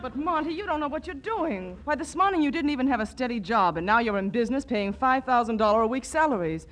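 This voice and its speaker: An actress playing a sensible, worried young fiancée in 1940s radio comedy, earnest and exasperated. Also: female voice, high voice, expressive, quick pace. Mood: exasperated, disbelieving.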